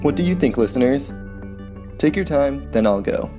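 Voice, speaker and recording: A man's voice: medium pitch, animated, moderate pace, over music. A man hosting a hospital podcast, introducing guests and asking them upbeat, friendly questions. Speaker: podcast host.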